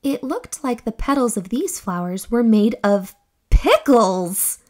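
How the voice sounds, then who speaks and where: A young woman reads a children's story with a high, lively voice. Her phrasing is slow and deliberate, with expressive emphasis as she narrates for young listeners. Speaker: children's read-aloud host. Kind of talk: animated scripted children's storybook reading.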